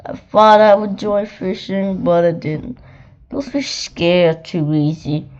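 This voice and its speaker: A woman's voice: lowish voice, slow, a little mellow, highs cut off. A woman voicing a boy's own male monster in a storybook, fond and teasingly menacing. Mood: teasing menace.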